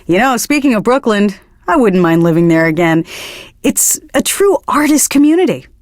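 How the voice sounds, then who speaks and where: An actress playing a young, earnest and smugly pretentious hipster DJ in a video game, talking between songs. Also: female voice, a little high, sing-song, moderate pace, darkish tone, no echo, clean studio sound. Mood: dreamy, enthusiastic. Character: pretentious young hipster indie radio DJ woman, earnest and smug. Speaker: radio DJ. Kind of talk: radio DJ patter between songs.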